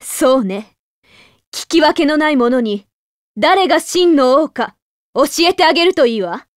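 Haughty young noblewoman, high voice, stern, resolute, dry anime game recording. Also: animated, a little slow, dry recording. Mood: grim resolve. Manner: haughty, commanding.

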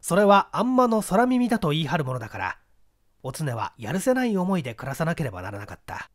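Male narrator for mystery audiobook, higher-pitched voice, animated intonation. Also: fast. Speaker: audiobook reader, stage actor. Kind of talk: scripted literary narration of a mystery story.

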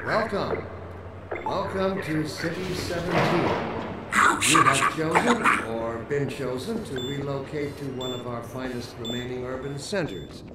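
Over very loud ambient noise, a smug older administrator in a video game. He delivers a measured, grandiose propaganda speech through a public speaker, in a mid-pitched voice. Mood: pompous welcome. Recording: very noisy station ambience behind broadcast.

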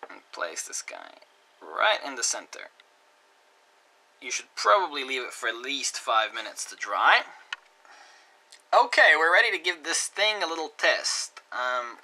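A man teaching a hands-on electronics project at a desk, talking casually step by step and trailing off quietly as he works. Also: on the high side, big pitch swings, unhurried, rough recording. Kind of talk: hands-on DIY tutorial narration. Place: indoor desk workbench.

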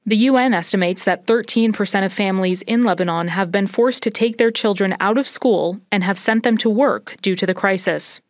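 A woman's voice: mid-range voice, dry recording. Young woman reporter, dry close sound. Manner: measured, even news delivery. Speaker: news correspondent. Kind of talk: scripted news report. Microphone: handheld reporter mic.

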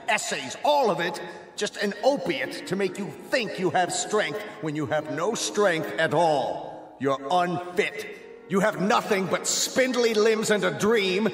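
An actor playing a cold, pompous state official who presides over a tribunal in a radio drama, speaking in emphatic oratory. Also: male voice, sits high, a bit bright, heavily acted. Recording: echoey hall, music underneath.

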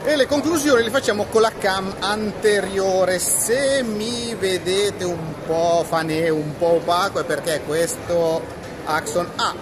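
A man's voice: high voice, unhurried. A man, chatting casually and animatedly to camera as a tech vlogger, recorded on a handheld phone. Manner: animated, joking, conversational. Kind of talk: casual chat to camera while demonstrating products. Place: large, busy trade fair hall. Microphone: handheld phone at arm's length. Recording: busy fair crowd noise behind.

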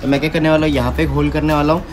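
A young man talks fast through his workshop project, explaining each step to the camera. His voice sits a little high. Music plays clearly beneath him. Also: level tone. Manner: mixes Hindi with English words, explains step by step. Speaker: vlogger building a custom motorbike. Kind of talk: casual walkthrough explaining work to camera. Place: metal-walled garage workshop, indoors. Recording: music playing under the voice. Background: background music.

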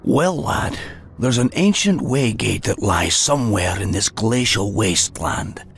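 Gravelly, hearty dwarf. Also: male voice, lowish voice, expressive, unhurried, slight background noise. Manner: boisterous, blustery, folksy. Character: gruff, hearty dwarf adventurer. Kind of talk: video game cutscene acting.

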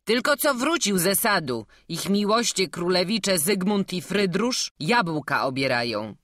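A woman's voice: fairly low pitch, very animated, measured pace, fairly bright tone, no echo, studio quality, pitched above the performer's own voice, full character voice. A woman voicing a courteous male gatekeeper who speaks politely and deferentially.

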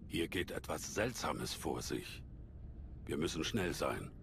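An actor playing a calm, wise old alien Jedi master in a video game, speaking in a measured, formal way. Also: male voice, very deep voice, creaky, noisy background, exaggerated voice. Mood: grave. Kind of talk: video game cutscene acting.

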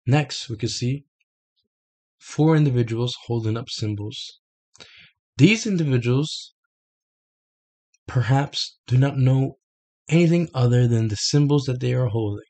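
Brief: young man, dark mellow tone with gravel, halting unscripted explainer, webcam in a small bedroom. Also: mid-range voice. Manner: hesitant, many fillers and restarts. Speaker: self-made explainer. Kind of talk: unscripted explanation over a screen share. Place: small bedroom with red lighting, indoors. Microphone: webcam at desk.

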